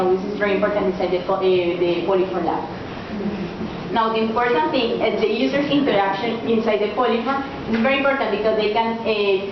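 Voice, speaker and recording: A young woman giving a group project presentation as a student, halting and searching for words. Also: a little high. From Colombia. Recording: echoey, dull lo-fi room recording with noise.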